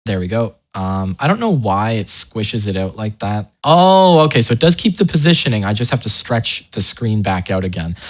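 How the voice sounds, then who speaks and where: A man hosting a casual software tutorial, talking informally with wry asides and interrupting himself as he goes. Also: on the low side. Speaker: tutorial host.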